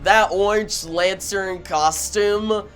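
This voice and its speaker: A man's voice: high-pitched, fairly even intonation, takes it slowly, fairly bright tone, soft background music. A man giving casual, slangy reaction commentary as a video host, exclaiming with emphasis.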